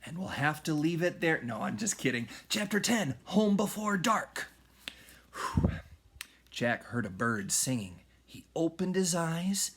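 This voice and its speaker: A man reading a children's story aloud in an expressive, dramatic way, moving between hushed and raised delivery. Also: on the high side, unhurried, husky. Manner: animated, dramatic, with hushed whispers and shouts. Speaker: read-aloud storyteller, music teacher.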